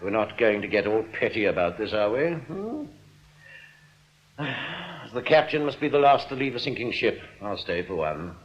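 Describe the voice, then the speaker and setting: An actor in a radio play playing a smugly genial crooked bank manager, urbane, patronising and unruffled. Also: male voice, fairly low pitch, big pitch swings, moderate pace. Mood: briskly genial. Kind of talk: radio drama acting. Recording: dull, muffled radio recording.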